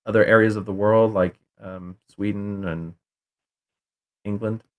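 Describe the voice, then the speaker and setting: A man's voice: on the low side, steady tone, a little slow, phone-quality sound. A middle-aged man hosting a casual call-in show, rambling with many fillers and restarts.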